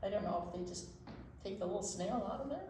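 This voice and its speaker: A woman's voice: medium pitch, fast, dark tone, slight background noise. An older woman chatting casually and playfully to young children as a storytime teacher. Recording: echoey room.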